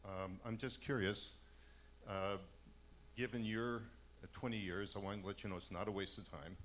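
A man from the audience who asks a slow, halting question with many hesitations. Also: lowish voice, fairly even intonation, fairly bright tone, slight background noise. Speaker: audience member asking a question.

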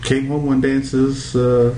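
A man's voice: mid-range voice, level tone, normal speed, mellow. A middle-aged man from Hawaii being interviewed, reminiscing in casual conversation. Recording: echoey room with background noise.

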